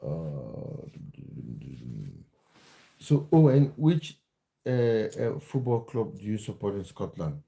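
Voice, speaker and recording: A man's voice: lowish voice, sing-song, slow, vocal fry, long pauses, mellow. A man from Cameroon hosting a casual football livestream, chatting with viewers and often restarting his words. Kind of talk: casual live chat with viewers.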